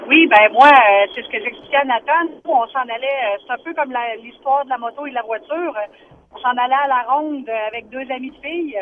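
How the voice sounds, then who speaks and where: A woman's voice: high voice, fairly quick. A woman with a Quebec French accent calling a radio show by phone to tell a personal story casually. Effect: phone line. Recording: noisy band-limited phone call.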